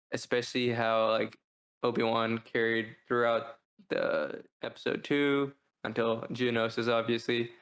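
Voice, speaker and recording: A man's voice: mid-range voice, a bit bright. A man co-hosting a review podcast in a casual, discursive way, often posing questions as he thinks aloud. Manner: discursive, poses rhetorical questions. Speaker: podcast co-host reviewing books.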